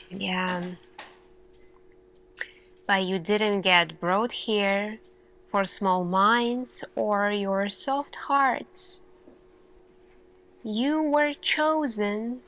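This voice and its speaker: A woman performing an ASMR sci-fi roleplay as an earnest, thoughtful lead scientist, speaking deliberately with pauses. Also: medium pitch, a bit bright, low-quality recording. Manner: slow, deliberate, pausing, questioning delivery. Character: earnest, thoughtful female lead scientist addressing her team.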